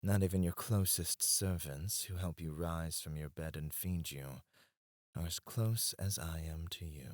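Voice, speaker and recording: A man playing a deep, teasing royal advisor. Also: a little slow, dry recording, studio quality. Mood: teasing, confiding. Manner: soft-spoken, dropping to a hush on titles; teasing and confiding. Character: scheming male royal advisor, smooth and devoted. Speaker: voice actor. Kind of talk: ASMR roleplay.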